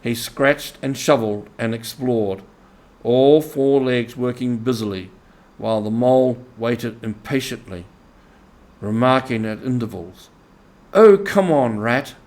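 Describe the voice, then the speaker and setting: A man narrates a storybook in a mid-pitched voice. He reads at a slow, measured pace, in the manner of scripted audiobook narration. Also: fairly even intonation, darkish tone.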